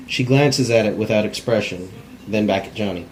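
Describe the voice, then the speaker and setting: A man's voice: fairly low pitch, moderate pace, a little mellow, low-quality recording. A man reading stage directions in an amateur cold table read, plainly and with stumbles over words. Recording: faint background noise.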